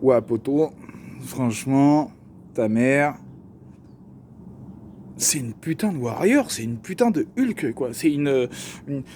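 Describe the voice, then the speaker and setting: A man's voice: mid-range voice, animated, takes it slowly, pauses often, mellow, slight background noise. A young man with a Parisian accent telling a personal story to camera, slangy and joking, with lots of fillers. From Paris region. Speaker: vlogger.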